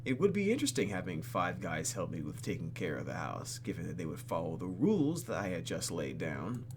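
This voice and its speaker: A man hosting a game playthrough, reading the story aloud and tossing in playful, animated asides. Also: sits low, a little fast, background noise. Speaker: host of a game playthrough video. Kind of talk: reading game narration aloud with casual reactions.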